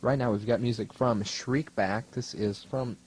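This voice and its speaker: A man's voice: mid-range voice, steady tone, moderate pace, a little mellow, slightly rough. A young man working as a student DJ, talking casually between songs on college radio in a wry, rambling, self-deprecating way. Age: young adult. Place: radio studio.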